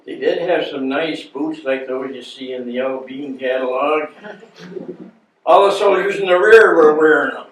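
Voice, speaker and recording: An American man in his nineties, a war veteran, reminiscing informally to an audience in a halting, plain-spoken way. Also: fairly high pitch. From United States. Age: 90s. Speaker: war veteran, guest speaker. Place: indoor meeting room before an audience. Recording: echoey room, distant mic, faint noise.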